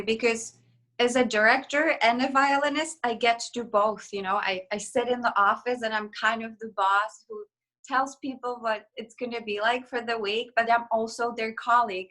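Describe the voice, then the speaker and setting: Young woman violinist from the Czech Republic, bright and clear, animated and chatty in an interview. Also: a little high, normal speed. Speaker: violinist and festival artistic director. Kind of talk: casual interview conversation.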